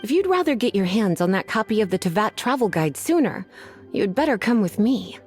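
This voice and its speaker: A woman's voice: mid-range voice, big pitch swings, brisk, a little mellow, natural voice. An actress playing a languid, teasing woman librarian who drawls and sighs, in a game with faint music behind. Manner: drawling, sighing, sultry teasing.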